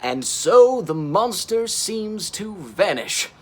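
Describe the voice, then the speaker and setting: A man voicing a heroic, earnest, excitable young male dinosaur captain from a children's storybook, with emphatic, dramatic delivery. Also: high voice, slow pace, voice pushed up, exaggerated voice. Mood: explaining. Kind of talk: children's storybook character reading.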